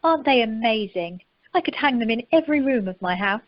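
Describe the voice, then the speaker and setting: A woman's voice: fairly high pitch, lively intonation, a little fast, no room sound. A woman playing a mischievous, impulsive, art-mad young bride in an amateur radio play over the telephone. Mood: gushing. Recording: muffled telephone conference line.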